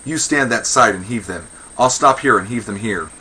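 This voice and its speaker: A man's voice: fairly low pitch, expressive, normal speed, pushed and bright, a little hiss or hum, low-quality recording. A man playing a pompous, indignant old Athenian magistrate in a verse comedy, declaiming with blustering contempt for women. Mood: barking orders. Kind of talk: verse comedy read aloud.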